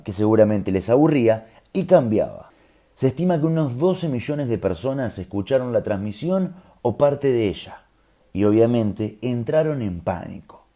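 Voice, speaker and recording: A man's voice: mid-range voice, mellow. A man with a Rioplatense Argentine accent tells a scripted story in a conversational, explanatory way, with colloquial asides. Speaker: storyteller host.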